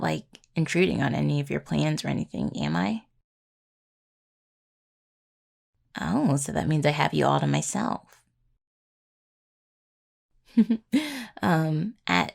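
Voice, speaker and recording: A young adult woman playing an anxious, sweet necromancer healing student in intimate roleplay audio, with a gravelly, creaky voice and a hesitant, rambling, casual delivery. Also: deep voice, exaggerated voice. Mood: affectionate, teasing.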